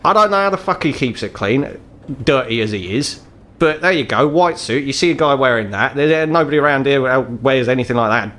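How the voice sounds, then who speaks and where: A man plays a gruff, ageing troll who works as an underworld fixer in a tabletop game. He is British, blunt and foul-mouthed, and speaks like someone bitter and tired of living in the gutter. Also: mid-range voice, fairly bright tone, close to an everyday voice. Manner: blunt, sweary, world-weary.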